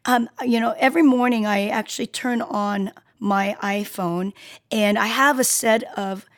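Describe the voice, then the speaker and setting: Raspy-voiced woman hosting a radio show. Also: a little high, steady tone, unhurried. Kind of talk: casual interview chat.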